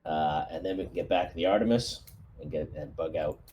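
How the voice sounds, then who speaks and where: A man speaking as a squad commander in a tabletop roleplaying game, briefing a plan in a halting, thinking-aloud way. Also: very deep voice, highs cut off, slight background noise. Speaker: player acting as squad commander.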